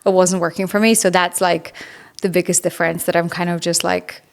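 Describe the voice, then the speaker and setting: A woman's voice: on the low side, level tone, brisk, vocal fry, noisy background. A woman in her thirties talking casually as an interview guest, informal and chatty, often saying "like". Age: 30s. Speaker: podcast guest, biohacker and author.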